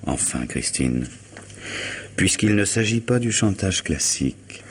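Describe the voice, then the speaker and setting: An actor playing a suave, middle-aged lawyer in a French radio mystery, wry, urbane and unruffled. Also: male voice, low voice, big pitch swings, measured pace, slightly rough, heavily acted. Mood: measured, serious. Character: suave, ironic middle-aged male lawyer, coolly self-possessed.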